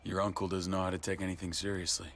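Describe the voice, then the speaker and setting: A man's voice: low-pitched, completely flat delivery, quick pace, a bit bright, a little hiss or hum. A man playing a blunt, intense, grieving older brother in a cinematic video game drama. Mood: weary, stern. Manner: blunt, foul-mouthed, intense. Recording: music underneath.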